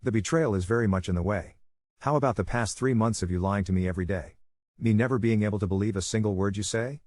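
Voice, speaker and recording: A man narrating an online story in a brisk, even reading style. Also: fairly low pitch, no echo, clean studio sound.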